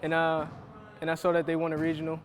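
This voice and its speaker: A man's voice: fairly high pitch, completely flat delivery, fast talker. A young man from New Jersey casually introducing himself as a college basketball player. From New Jersey, USA. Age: young adult. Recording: noisy space with bouncing basketballs.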